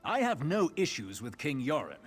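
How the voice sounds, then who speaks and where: Disdainful elf noble, fantasy game, haughty, sneering, animated pitch, soft music underneath. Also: male voice, moderate pace, husky, heavily acted. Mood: indignant. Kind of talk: video game character acting.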